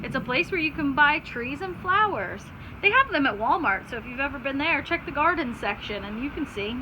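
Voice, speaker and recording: A woman's voice: sits high, animated, a little fast. A woman teacher reading aloud to children, stopping to explain simply and put questions to her listeners. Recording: clear background noise.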